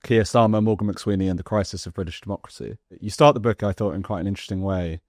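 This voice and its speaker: A male interviewer asks long questions in a measured way, with a few "uh" and "um" fillers. His voice sits very low and he speaks quickly. The recording is dry and close. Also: fairly even intonation, a little mellow, no echo.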